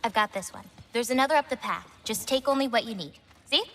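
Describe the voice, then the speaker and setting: A woman playing a gentle, self-reliant teenage giantess in a video game, speaking in a natural, expressive, conversational way. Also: fairly high pitch, fast. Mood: encouraging.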